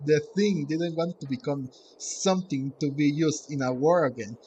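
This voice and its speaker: A man's voice: on the high side, moderate pace, a little mellow, dull audio, slight background noise. A man hosting a gaming video, giving casual, hesitant play-along commentary and often repeating himself.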